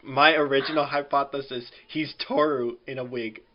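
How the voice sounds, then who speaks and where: A man's voice: medium pitch, steady tone, normal speed, lo-fi sound, a little hiss or hum. A man chatting informally as a panelist on a group podcast. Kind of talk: casual group podcast chat.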